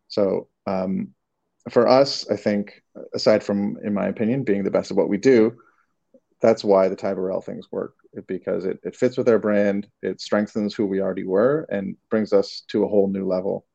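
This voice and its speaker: Gravelly Canadian man, low dark voice. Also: steady tone, moderate pace, lo-fi sound. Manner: hesitant, many restarts and fillers. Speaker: creative director, guest. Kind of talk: casual interview answers.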